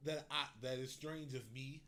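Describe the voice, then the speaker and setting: A man's voice: fairly high pitch, flat delivery, normal speed, over a noisy crowd. An American man co-hosting a podcast, talking casually and emphatically in slangy, profanity-laced speech. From United States. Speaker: podcast co-host. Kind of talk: casual, animated back-and-forth conversation. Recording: clearly audible background noise.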